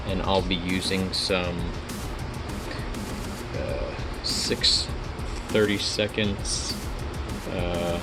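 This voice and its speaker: Man, lowish pitch, plainspoken, casual DIY tutorial, step-by-step explaining, clear background music. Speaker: DIY car restoration host. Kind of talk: casual hands-on workshop tutorial.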